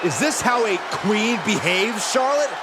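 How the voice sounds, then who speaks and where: A man doing loud, excited color commentary on video game wrestling, sounding incredulous. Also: high-pitched, measured pace. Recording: noisy arena crowd behind voice.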